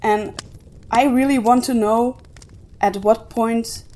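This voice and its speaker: A woman's voice: a little high, steady tone, slow pace, warm tone. A woman co-hosting a podcast, talking casually and giving emphatic opinions. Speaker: podcast co-host. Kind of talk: casual discussion of storytelling.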